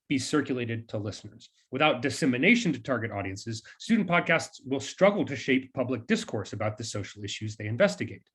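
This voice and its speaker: A male academic presents research from a script. He has a medium-pitched voice with a husky edge and animated intonation. His phrasing is formal and scholarly.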